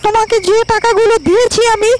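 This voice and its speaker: A woman's voice: extremely high pitch, little pitch movement, fast talker, heavy background noise. A woman voicing a spoiled, vengeful young landlord's daughter in a cartoon story, with haughty, emphatic delivery. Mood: scheming, boastful. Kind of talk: cartoon moral-story acting.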